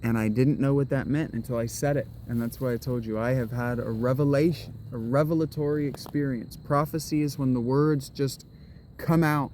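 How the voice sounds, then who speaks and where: A man's voice: medium pitch, fairly even intonation, normal speed, a little mellow, a little hiss or hum. A man talking to his camera on a walk in public, rambling and restarting his sentences as he muses aloud. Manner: rambling and restarting, drifting into musing. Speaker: vlogger. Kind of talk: rambling spoken vlog monologue. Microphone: camera held while walking.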